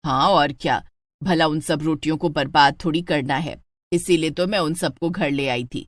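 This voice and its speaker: Woman voicing a low-pitched stingy mother-in-law. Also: normal speed, no room sound, clean studio sound, close to an everyday voice. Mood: bossy, flustered. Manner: bossy, coaxing. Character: stingy, fussy middle-aged mother-in-law, nagging and penny-pinching.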